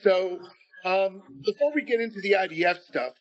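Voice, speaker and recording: A man's voice: high-pitched, animated, moderate pace, muffled recording. A man hosting a podcast in informal, slangy casual chat. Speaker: podcast host.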